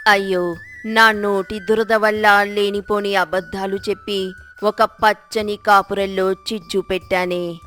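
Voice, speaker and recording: An older village aunt voiced by a woman storyteller, fretful and full of remorse. Her mid-pitched voice laments and beseeches in storybook style over background music. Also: fairly bright tone, close to an everyday voice. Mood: remorseful lament. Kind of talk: storybook character dialogue.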